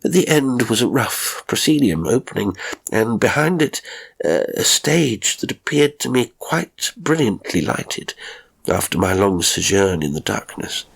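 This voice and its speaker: A man narrating a first-person strange story from a script, with dramatic, expressive delivery and deliberate pauses. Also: lowish voice, vocal fry, breathy edge. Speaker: audiobook narrator of strange stories.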